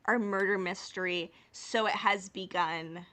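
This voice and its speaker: A woman's voice: medium pitch, fairly even intonation, unhurried, bright, forceful tone. A woman co-hosting a casual fan podcast, chatting in a playful, teasing way. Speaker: podcast co-host.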